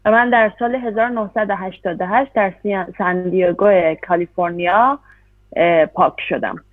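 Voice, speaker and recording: A woman interpreting a talk, rushing through phrases with hesitant fillers between them. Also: mid-range voice, no room sound. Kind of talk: consecutive interpretation. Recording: dry, tinny phone-like sound.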